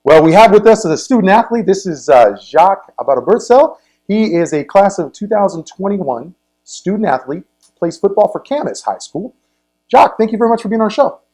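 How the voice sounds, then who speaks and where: Man, American accent, interview host, conversational, halting delivery, repeated words. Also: high-pitched, very animated, warm tone, rough recording. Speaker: sports interview show host.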